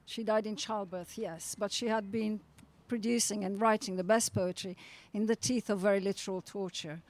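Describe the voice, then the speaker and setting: A woman speaking to a poetry event as translator and reader, with deliberate, dramatic phrasing. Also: mid-range voice, steady tone, a little mellow. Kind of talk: introduction and expressive poetry reading. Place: outdoors at a gallery event.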